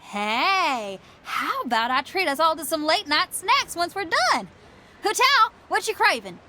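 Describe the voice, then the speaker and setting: A woman's voice: very high-pitched, big pitch swings, unhurried, bright, forceful tone, heavily acted. A woman playing an easygoing, confident young rock performer in a video game, speaking in a breezy, informal way. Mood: eager. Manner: breezy, informal, laughs easily. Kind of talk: English video game voice acting.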